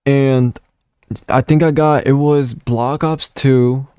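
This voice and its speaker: 19 yo guy from puerto rico rambling about old consoles, mellow voice, dry close mic, swears a ton. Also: mid-range voice, fairly even intonation, slow, clear, resonant tone, no echo. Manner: rambling, swears a lot, many fillers. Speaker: gaming content creator. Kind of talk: casual storytelling vlog.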